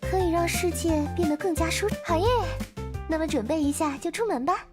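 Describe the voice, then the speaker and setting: Visual novel casting: sweet, cheerful young girl, high voice, clear music underneath. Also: fairly quick. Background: game music.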